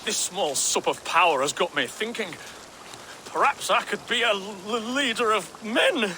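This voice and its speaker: High-voiced, nervous, stammering runaway monk. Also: male voice, lively intonation, bright, forceful tone, a little hiss or hum, exaggerated voice, low-quality recording. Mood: growing confidence. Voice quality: stutters when nervous. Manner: blustering, halting, self-doubting. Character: nervous, bumbling peasant-born runaway monk posing as a thane. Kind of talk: video game character acting.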